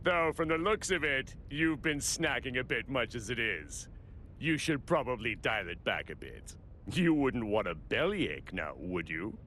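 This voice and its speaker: An actor playing a cocky, sly villain in an anime video game, mocking and unhurried. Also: male voice, medium pitch, big pitch swings, bright, forceful tone, a little hiss or hum. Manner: mocking, unhurried, mock-concerned.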